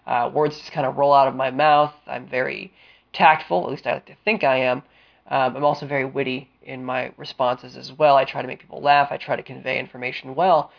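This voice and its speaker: Man, podcast host, gravelly edge, slightly muffled sound, smooth, sniffly with a cold. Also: mid-range voice, level tone. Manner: deliberate and explanatory, with frequent fillers. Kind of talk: solo career-advice podcast monologue.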